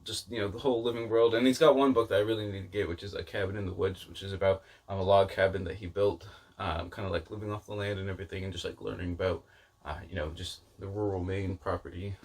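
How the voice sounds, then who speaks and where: A man's voice: deep voice, steady tone, quick pace, a little mellow. A man talking casually to camera as a vlogger, in a rambling way that often doubles back mid-thought. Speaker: book vlogger and channel host.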